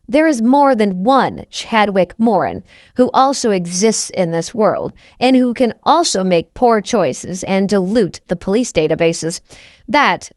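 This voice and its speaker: A woman narrates an audiobook in the first person, reading scripted prose. Her pitch is mid-range and the recording is dry, with no room sound. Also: no echo.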